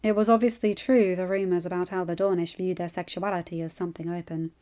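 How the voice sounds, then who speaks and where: A woman's voice: lowish voice, steady tone, quick pace, warm tone. Woman narrating, slightly muffled sound. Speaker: audiobook reader. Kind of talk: scripted fan fiction narration.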